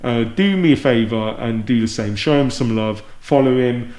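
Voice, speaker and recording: A man's voice: medium pitch, moderate pace, darkish tone. A man hosting a casual podcast conversation, earnest and effusive in how he talks. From Luton, England. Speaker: podcast host. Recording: roomy, echoey sound.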